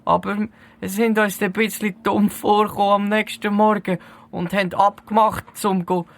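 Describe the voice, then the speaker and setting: A woman's voice: mid-range voice, flat delivery, normal speed, vocal fry, lightly acted. Woman playing a nervous, timid Swiss teenage boy. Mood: nervous, defensive. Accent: Swiss German. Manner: timid, defensive. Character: nervous seventeen-year-old boy, detained suspect, cowed. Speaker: voice actor in audio drama. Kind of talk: hobby detective audio drama acting.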